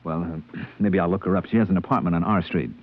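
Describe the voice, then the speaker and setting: An actor playing an easygoing spaceship purser in a 1950s radio drama, with a colloquial manner. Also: male voice, sits low, steady tone, fast. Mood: uncertain. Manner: colloquial, hesitant in dialogue. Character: easygoing spaceship purser recounting his voyages. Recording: tinny vintage radio broadcast, music behind.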